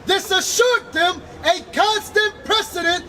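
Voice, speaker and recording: A man reading aloud from a book outdoors on a city street, in a steady, careful way. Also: very high-pitched, measured pace, slight background noise. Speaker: reader.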